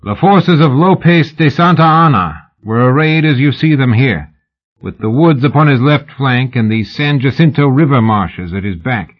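A man's voice: mid-range voice, lively intonation, normal speed, pitched above the performer's own voice, natural voice. Male narrator as old war hero general, pompous, boastful, oratorical storybook speech, slightly lo-fi. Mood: boastful oratory.

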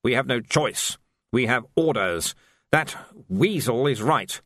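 A man's voice: medium pitch, expressive, slow pace, fairly bright tone, dry recording, clean studio sound. A man voicing a tired, resigned male army major who sighs through his words. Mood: weary. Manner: tired, sighing.

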